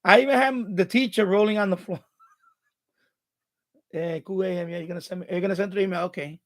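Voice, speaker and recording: A man's voice: high voice, steady tone, fairly quick. A man with a Bronx New York accent, hosting a live stream and chatting casually while he reads viewer comments aloud, rambling and restarting his words. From born in Puerto Rico, raised in the Bronx.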